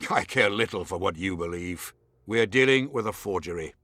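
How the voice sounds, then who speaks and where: An actor playing a haughty, scheming nobleman in a video game, speaking in a formal, imperious and measured manner. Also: deep voice, expressive, pushed and bright, no echo, full character voice. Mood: stern, indignant.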